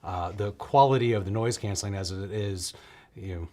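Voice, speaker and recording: A man's voice: fairly low pitch, moderate pace, dark tone. A man co-hosting in casual banter, teasing and poking fun at himself as he goes. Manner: joking, teasing, self-deprecating. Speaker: co-host. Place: live show set.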